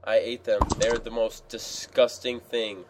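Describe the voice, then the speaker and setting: A man's voice: lowish voice, flat delivery, measured pace. A teenage man hosting a casual group podcast, rambling with lots of fillers. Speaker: podcast host. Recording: noisy home recording.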